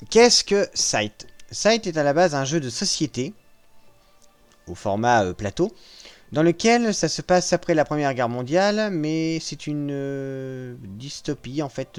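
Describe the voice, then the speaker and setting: A man casually walking viewers through a strategy video game, joking at his own expense and pausing often with fillers. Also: mid-range voice. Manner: self-mocking, hesitant with frequent fillers. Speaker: gaming channel host. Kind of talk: casual gameplay walkthrough.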